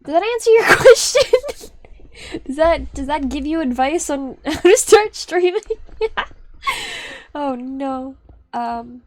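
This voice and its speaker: A woman's voice: lively intonation, slow, pauses often. A young woman streamer chatting casually on a live stream, rambling and restarting her sentences, often breaking into laughter. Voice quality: high-pitched.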